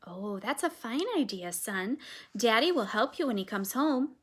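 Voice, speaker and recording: A woman voicing a gentle, patient mother in a read-aloud storybook. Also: a little high, expressive, unhurried, slightly rough. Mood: motherly. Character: warm patient middle-aged mother.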